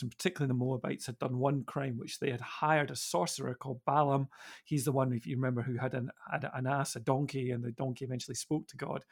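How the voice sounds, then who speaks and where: A man with a very creaky, medium-pitched voice speaks as a podcast panellist. He explains things thoughtfully, with halting phrasing. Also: a bit bright. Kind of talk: explanatory discussion.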